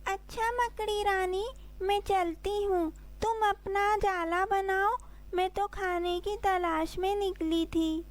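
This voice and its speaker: A woman's voice: extremely high pitch, steady tone, slow pace, pitched above the performer's own voice. A woman voicing a chatty, judgmental little female bird in a children's cartoon, with a chirpy, gossipy manner. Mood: friendly.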